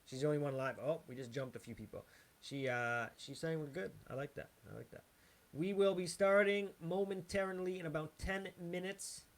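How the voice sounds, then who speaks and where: A man's voice: on the high side, steady tone, normal speed. A man hosting a livestream, chatting loosely and hyping an upcoming guest while he checks the setup before the show. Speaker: livestream host.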